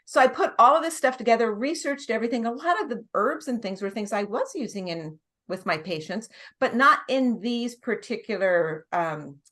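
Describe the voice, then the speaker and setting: A woman's voice: on the high side, lively intonation, moderate pace, few pauses, fairly bright tone. Chatty middle-aged woman explaining at length. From United States. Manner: chatty, explains at length with frequent restarts and laughs. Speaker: guest nurse practitioner. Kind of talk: casual interview conversation.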